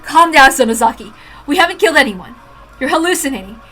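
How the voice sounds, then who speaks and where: A woman voicing a cold, calm male officer who gives curt, brisk orders. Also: high voice, over music. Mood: commanding.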